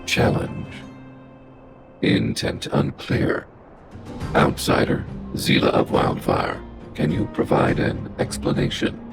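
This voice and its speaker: An actor playing a huge, stern, logical robot boss in a video game, gravelly and speaking in clipped, formal, computer-like phrases. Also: male voice, rumbling low, lively intonation, slow, over music, exaggerated voice. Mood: cold, analytical.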